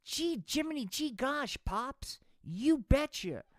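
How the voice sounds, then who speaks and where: Man putting on a high squeaky eager boy, naive, gushing, puppet show. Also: animated, slow, no echo, clean studio sound, heavily acted. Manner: gushing, wholesome exclamations.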